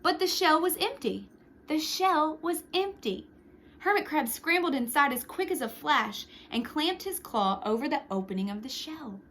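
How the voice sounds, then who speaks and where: Female storytime reader, high and very animated, expressive picture-book delivery, faint noise behind the voice. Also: normal speed. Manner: expressive, with hushed whispered sound words and asides. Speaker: storytime reader for young children. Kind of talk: read-aloud picture book narration with short asides.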